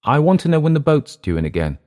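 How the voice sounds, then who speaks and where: A man voicing a bitter, dissolute Englishman with an English accent, sneering and halting by turns. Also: on the high side, sing-song, dark tone, pitched above the performer's own voice. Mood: uneasy.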